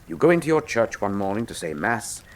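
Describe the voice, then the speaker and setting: An actor playing an urbane, self-assured British detective in a radio drama, suave, theatrical and dryly ironic. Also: male voice, lowish voice, very animated, fairly quick, slight background noise. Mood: grave, dramatic.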